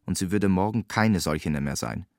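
A man's voice: deep voice, expressive, moderate pace, vocal fry. A man narrates a literary story, relaying what its characters say. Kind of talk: literary narration in reported speech. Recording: music behind the voice. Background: music.

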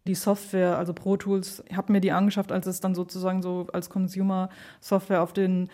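A woman's voice: on the low side, monotone, a little fast, warm tone. A woman speaking spontaneously and informally in an interview, thinking aloud in loosely strung, reflective thoughts. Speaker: interviewed radio play maker, composer and performer.

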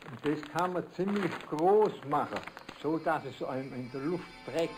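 An older man with a Swabian accent from southern Germany, explaining informally as an amateur inventor in halting, repetitive phrases. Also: fairly high pitch, expressive, music in the background, rough recording.

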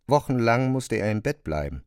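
An actor playing a sober narrator of a family's decline, measured and matter-of-fact in a literary radio drama. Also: male voice, medium pitch, sing-song, clean tone, no room sound, clean studio sound, close to an everyday voice.

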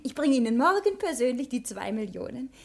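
A woman's voice: fairly high pitch, big pitch swings, fairly quick, vocal fry. An actress playing a charming, poised married lady in a German radio drama, speaking politely and soothingly. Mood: reassuring.

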